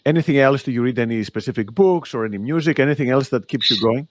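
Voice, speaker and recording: A man's voice: mid-range voice, fast talker, no echo. A man hosting a podcast, agreeing with his guest and asking follow-up questions. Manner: agreeable, supportive interviewer. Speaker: podcast host. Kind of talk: casual interview questions.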